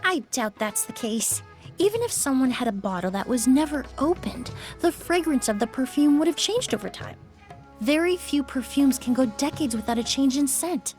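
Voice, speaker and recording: A woman's voice: high-pitched, expressive, moderate pace, a little mellow, faint music behind. A woman voicing a poised, courteous young perfumer who speaks precisely, in a video game. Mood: analytical. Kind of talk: video game character acting.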